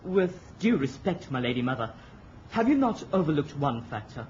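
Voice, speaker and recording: An actor in a radio drama playing an eager, ambitious eighteen-year-old prince with an excitable, theatrical manner. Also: male voice, fairly high pitch, moderate pace. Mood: ambitious, questioning. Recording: muffled radio recording with hiss.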